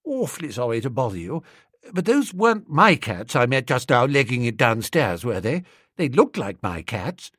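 A man's voice: sits high, huge pitch swings, moderate pace, slightly rough, no room sound, clean studio sound, exaggerated voice. A man voicing a mild, silly young lord with an upper-class English accent, apologetic and chatty, in a comic audiobook. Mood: apologetic, sad.

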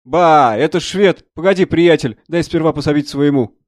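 A man voicing a gruff, irascible military surgeon with brusque, loud exclamations in a dramatised audiobook. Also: on the high side, normal speed, dry recording, natural voice.

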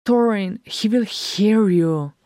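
Narrator doing an anxious, high-pitched, pleading dwarf. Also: a little slow, mellow, dry recording, close to an everyday voice. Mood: urgent. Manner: hissing, moaning, pleading. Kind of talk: fan fiction audiobook character acting.

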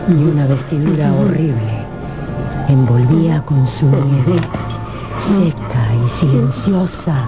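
A woman playing the solemn storyteller of a gothic radio drama, narrating in a deliberate, suspenseful way. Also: low voice. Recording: music under the narration. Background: music.

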